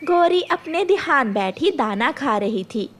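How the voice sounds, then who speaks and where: A woman's voice: high voice, animated, takes it slowly, a bit bright. A woman storyteller reading scripted narration for a children's story.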